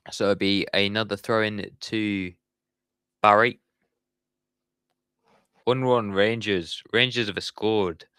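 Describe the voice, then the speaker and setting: A man's voice: fairly low pitch, fairly bright tone, clean tone, no room sound, clean studio sound. A young man giving live football commentary on his stream, chatty and talking to his viewers in the chat. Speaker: watchalong streamer.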